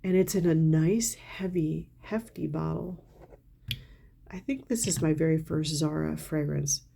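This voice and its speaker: A woman's voice: sits low, unhurried, gravelly, warm tone, breathy edge. A middle-aged woman, congested from a cold, chatting candidly and enthusiastically as she reviews a haul of perfumes for her channel. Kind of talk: casual product haul talk to camera.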